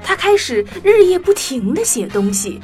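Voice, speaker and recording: A woman narrating a story for children in an expressive, animated storyteller's style. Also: very high-pitched, moderate pace. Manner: expressive, addresses young listeners. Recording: music bed behind the voice.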